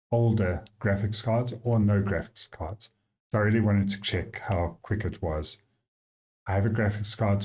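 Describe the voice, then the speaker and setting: A man with a South African accent, the app's developer, casually walking through his software and thinking aloud with frequent fillers. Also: on the low side. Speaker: app developer giving a demo.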